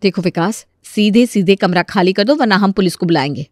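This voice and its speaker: A woman voicing an indignant, sharp-tongued married landlady who scolds and exclaims in a comic story. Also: medium pitch, animated, brisk, no room sound, clean studio sound, natural voice. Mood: confrontational. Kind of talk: Hindi comic story dramatization.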